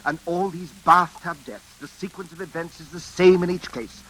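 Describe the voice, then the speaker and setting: An actor playing a nervous, suspicious, fussy bachelor gentleman with a British accent and hesitant, formal speech in an old-time radio drama. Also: high voice, fairly even intonation, moderate pace. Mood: uneasy, probing. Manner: hesitant, stammering, proper and formal. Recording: noisy vintage radio drama recording.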